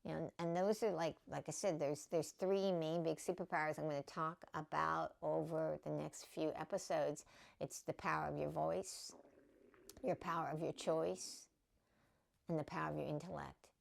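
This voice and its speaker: A woman with a low voice and a gravelly edge gives a casual spiritual teaching. She talks in a loose, conversational way, full of fillers and little check-ins with the listener. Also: fairly even intonation, normal speed. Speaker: spiritual teacher and podcast host.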